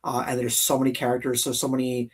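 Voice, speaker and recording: A man's voice: medium pitch, monotone, fast, breathy edge, distant mic. A man hosting a casual gaming livestream, talking enthusiastically and repeating phrases for emphasis. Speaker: host of a live gaming countdown show. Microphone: streaming call microphone.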